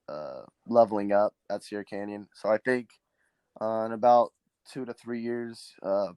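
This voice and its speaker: A teenage quarterback from Southern California, a native Spanish speaker, answering interview questions earnestly with many ums and restarts. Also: male voice, on the low side, darkish tone, no room sound. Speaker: high school quarterback guest.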